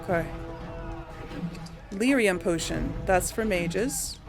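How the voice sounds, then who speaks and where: A woman's voice: on the low side, animated, slow pace. A woman giving casual, chatty gameplay commentary, thinking aloud to her audience as she plays. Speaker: let's player. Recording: voice over game music.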